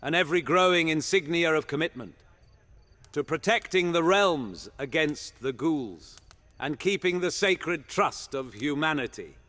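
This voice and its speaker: A man's voice: fairly high pitch, animated, a little slow, a bit bright. A man playing a roguish, irreverent monster hunter knight in a fantasy audio roleplay, talking in a theatrical, conspiratorial way. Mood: reverent, proud. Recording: long hall reverb under music.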